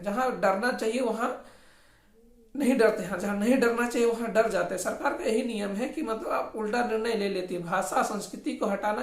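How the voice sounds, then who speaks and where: A woman presenting informal explanatory news commentary in an opinionated, insistent way, repeating her points. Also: medium pitch. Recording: echoey room.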